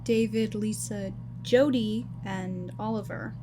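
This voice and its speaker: A woman playing a brisk, self-assured working mother and scientist in an audio drama, with an assured, matter-of-fact manner. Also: medium pitch, fairly even intonation, noisy background, close to an everyday voice. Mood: fond, proud.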